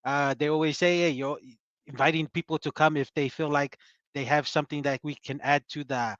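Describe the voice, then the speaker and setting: Man, co-host, halting, rambling, a little high, dull lo-fi sound. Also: fairly even intonation, no room sound. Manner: rambling, halting with fillers, trailing off softly. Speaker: podcast co-host. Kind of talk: casual chat.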